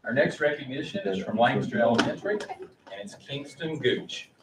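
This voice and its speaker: A man's voice: medium pitch, expressive, a little fast. A middle-aged man speaking as a school official, making formal announcements and reading aloud in a large meeting room. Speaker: school official presenting staff and student awards. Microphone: distant room microphone. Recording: roomy, distant mic, faint noise.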